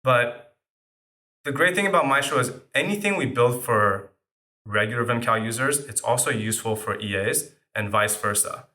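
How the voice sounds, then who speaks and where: A startup founder who grew up speaking French, answering interview questions in a casual way with many fillers. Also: male voice, medium pitch, normal speed, pushed and bright.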